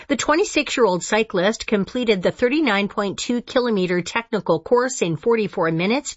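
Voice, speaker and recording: A woman's voice: mid-range voice, no echo, muffled recording. A woman reading a scripted news bulletin as a radio newsreader. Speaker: news reader.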